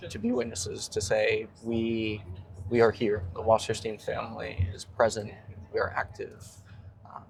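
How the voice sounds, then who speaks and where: A man giving a measured, solemn testimony about his family's history. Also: fairly low pitch, creaky, slight background noise, poor audio. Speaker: descendant of a massacre witness. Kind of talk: reflective testimony to camera.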